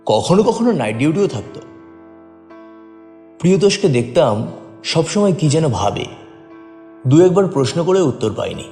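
A man narrates a story dramatically in a fairly high, mellow voice. His pitch moves in a lively way, with long reverb around it. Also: normal speed, pauses often. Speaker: story reader. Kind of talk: dramatic scripted first-person narration. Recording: long reverb, faint music behind.

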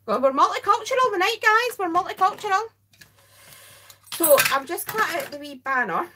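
A woman's voice: very high-pitched, lively intonation, moderate pace, bright, forceful tone, slight background noise. Chatty Scottish woman crafting live. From Scotland. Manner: chatty, rambling, self-interrupting. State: tired. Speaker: crafting livestream host. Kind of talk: casual chat while crafting, reading viewer comments. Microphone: overhead camera over craft desk.

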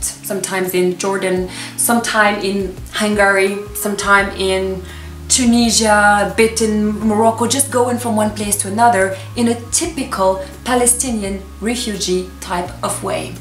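A woman in her twenties, a native Arabic speaker, casually recommending books to camera in an expressive, gushing, emphatic manner. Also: mid-range voice, normal speed, faint music behind. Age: 20s. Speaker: book reviewer and vlogger. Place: small furnished room with books, indoors. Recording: echoey room, distant camera microphone.

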